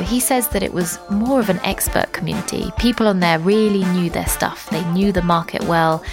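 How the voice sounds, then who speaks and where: A woman hosting a journalistic podcast, reading conversational scripted narration. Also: mid-range voice.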